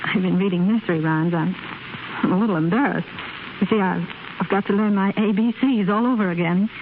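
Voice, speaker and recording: An actress playing a young blind woman who talks softly and gently, with slight hesitations. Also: mid-range voice, a little fast, natural voice. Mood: gentle. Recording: tinny noisy 1930s radio broadcast.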